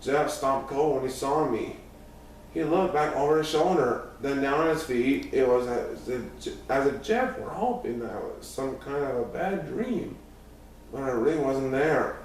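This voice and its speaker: A man reading a first-person youth story aloud as scripted narration, now and then stumbling over a word. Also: medium pitch. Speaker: audiobook reader. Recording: very echoey room, distant mic, faint noise.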